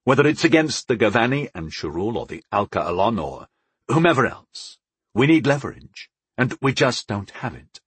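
A man's voice: mid-range voice, big pitch swings, normal speed, slightly rough, no room sound. A man playing a sarcastic, daring wizard in a fantasy audiobook, wry and musing with eager recklessness. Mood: thoughtful.

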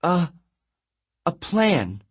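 A man's voice: high voice, big pitch swings, measured pace, fairly bright tone, husky, pitched above the performer's own voice, exaggerated voice. A man voicing an anxious young heroine in a storybook, urgent and flustered, prone to stammering.

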